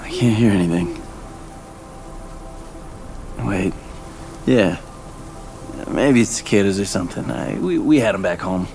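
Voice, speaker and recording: An actor playing a dutiful, stern soldier in an audio drama, firm and insistent about procedure. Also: male voice, fairly low pitch, background noise. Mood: curious. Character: dutiful male soldier, stern by-the-book superior.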